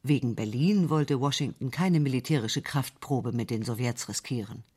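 A man reading a history documentary script in a clear, composed way. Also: mid-range voice, moderate pace, a little mellow. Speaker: documentary narrator.

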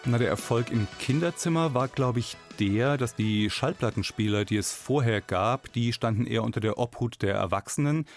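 A middle-aged man, a scholar, explaining in a measured way as an interviewed expert. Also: medium pitch, faint music behind.

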